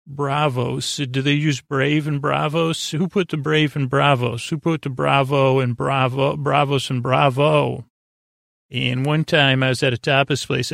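A man with a creaky voice hosting a sleep podcast in a meandering, halting, soothing monologue that drifts into tangents and pauses. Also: fairly bright tone, no echo, studio quality. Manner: soothing, low-key, reassuring. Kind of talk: rambling, meandering bedtime monologue.

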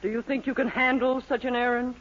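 An actress playing a stern, tart middle-aged mother in a 1940s radio sitcom, crisp and commanding. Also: on the high side, little pitch movement, fairly quick, close to an everyday voice. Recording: dull old radio broadcast.

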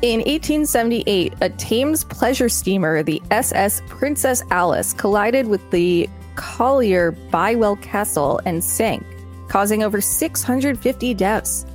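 A woman co-hosting a podcast, reading an article aloud and bantering in a deadpan, teasing way. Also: mid-range voice, unhurried, soft background music. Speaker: podcast co-host.

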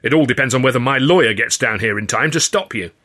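A man's voice: medium pitch, quick pace, pushed and bright, natural voice. A man voicing a malicious rival comedian in an audiobook, smug and taunting. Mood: smug threatening.

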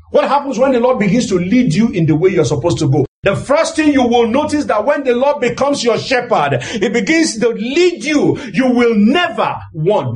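A man's voice: sits high, darkish tone. A man preaching a sermon in an emphatic, exhorting way, often raising his voice and repeating phrases for rhetorical effect.

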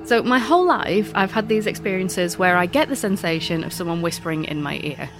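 A woman with a lowish voice and a blocked, stuffy nose tells her own stories on her podcast in a wry, self-mocking way. Faint music plays softly behind her. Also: animated, a little fast. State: stuffy nose after illness. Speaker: podcast host. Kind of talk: scripted podcast monologue.

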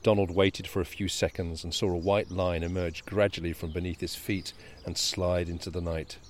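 Deep-voiced male co-host, measured reader, casual talker. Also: slight background noise. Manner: measured storyteller, chatty and quick in talk. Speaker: podcast co-host. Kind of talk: reading a novel aloud, then casual chat.

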